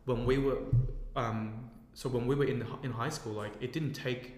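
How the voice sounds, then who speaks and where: A man's voice: medium pitch, steady tone, normal speed, a little hiss or hum. A man in casual chat, rambling and searching for words with many fillers. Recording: echoey room with long reverb.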